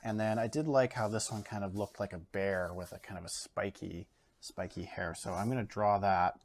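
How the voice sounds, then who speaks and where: A Canadian man teaching an art lesson from his home studio, thinking aloud and filling pauses as he draws. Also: lowish voice. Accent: Canadian English. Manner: relaxed, thinks aloud with fillers while drawing. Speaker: art educator. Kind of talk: casual art demonstration. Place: home studio, overhead camera on a table.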